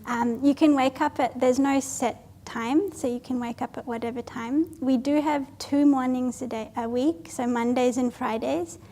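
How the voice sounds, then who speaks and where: A Buddhist nun, reading out audience questions at a retreat Q&A, light and self-deprecating with frequent laughter. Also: female voice, high voice, steady tone, normal speed, hardly any pauses, warm tone. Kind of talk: Q&A panel at a retreat. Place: retreat hall with a live audience.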